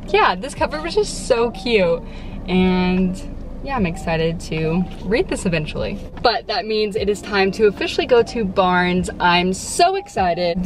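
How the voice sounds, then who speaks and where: A woman's voice: mid-range voice, noisy background. A young woman from Texas, talking chattily to her phone camera from a parked car, with lots of "like"s. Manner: chatty, bubbly, many "like"s. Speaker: book vlogger. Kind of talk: casual vlog chat to camera. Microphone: phone camera at arm's length. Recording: soft background music under voice.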